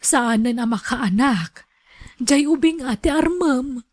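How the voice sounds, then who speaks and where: A woman's voice: high-pitched, takes it slowly, darkish tone, no echo. A woman playing a shy, demure older woman being courted in a radio drama, hesitant in her answers. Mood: hesitant, reluctant.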